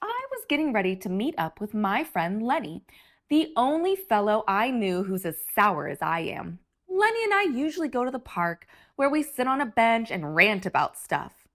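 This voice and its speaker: Animated woman reading a children's story. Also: on the high side, normal speed. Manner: animated, theatrical emphasis for young listeners. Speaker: picture-book read-aloud host. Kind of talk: expressive children's story read-aloud.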